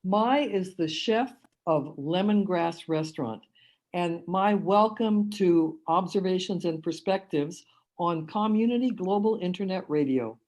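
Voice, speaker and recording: A woman's voice: lowish voice, a little slow, darkish tone. A woman hosting an internet radio interview, asking questions and giving brief friendly affirmations. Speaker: radio host.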